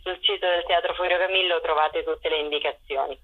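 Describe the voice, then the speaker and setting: On a dull phone connection, a woman speaks as an interview guest. Her voice is husky and a little low, and her delivery is informal and conversational. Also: fairly even intonation, brisk. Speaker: festival organiser, interview guest. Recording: dull, band-limited phone call.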